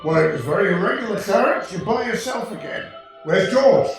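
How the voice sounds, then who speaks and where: A man's voice: high-pitched, expressive, fairly quick, gravelly. A man playing a stern supervising angel in a radio play, authoritative and admonishing. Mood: reproving. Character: stern, supervising male senior angel, patient but reproving. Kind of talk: 1940s-style radio play acting. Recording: echoey room with music.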